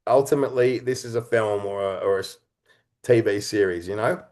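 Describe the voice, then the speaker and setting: A man speaking as an interview guest, earnest and reflective, explaining his creative work in halting, conversational phrases. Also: on the low side, a little mellow. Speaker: interview guest, writer-director and actor.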